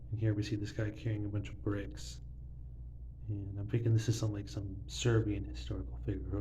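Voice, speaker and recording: A man's voice: fairly low pitch, mellow, slight background noise, low-quality recording. A young man casually showing his banknote collection to a phone camera, rambling with lots of fillers. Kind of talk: casual show-and-tell narration. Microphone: phone filming banknotes.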